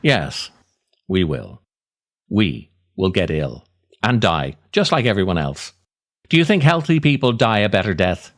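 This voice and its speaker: Man playing stubborn, cynical inner slacker, animated delivery. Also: lowish voice, measured pace, voice pushed down. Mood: dry defiance. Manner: dry, blunt, argumentative. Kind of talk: comic scripted dialogue with himself.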